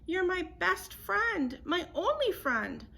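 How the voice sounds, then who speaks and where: A woman voicing a lonely young male bear cub in a storybook, pleading in drawn-out words. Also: big pitch swings, gravelly, sharp tone, full character voice. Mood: tearful pleading. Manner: pleading, drawn-out, higher-voiced.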